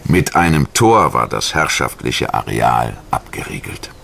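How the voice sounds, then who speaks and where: Very deep-voiced male documentary narrator. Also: big pitch swings, a little slow, vocal fry, fairly bright tone, slight background noise. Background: music.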